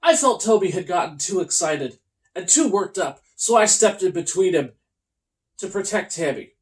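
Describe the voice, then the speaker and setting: A host reading a comic children's storybook aloud in a theatrical, mock-earnest way with deliberate pauses. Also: medium pitch, animated, low-quality recording.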